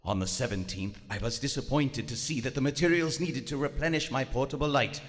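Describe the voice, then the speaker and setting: A man playing a proud German WWI submarine commander slipping into madness, narrating formally and deliberately in a live radio drama. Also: mid-range voice, natural voice. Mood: grim, brooding. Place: large theater stage. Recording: live theater stage, long reverb, music underneath.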